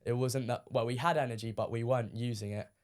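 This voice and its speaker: A teenage man from the UK, a guest on a podcast, talking casually with lots of ums, his voice a little croaky from shouting. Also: mid-range voice, quick pace. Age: teens. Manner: relaxed, many ums, recounting matches. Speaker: guest player.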